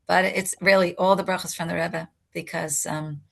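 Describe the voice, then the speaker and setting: A woman's voice: lowish voice, steady tone, normal speed, gravelly, fairly bright tone. An older woman, a native English speaker, recounting a personal story in an interview, reflective and emotional. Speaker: interview guest.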